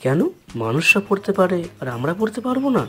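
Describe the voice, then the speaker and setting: A man voicing a plucky, touchy animal friend in a fairy-tale cartoon, eager and quick to protest. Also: sits high, sing-song, pushed and bright, faint music behind, full character voice. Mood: indignant.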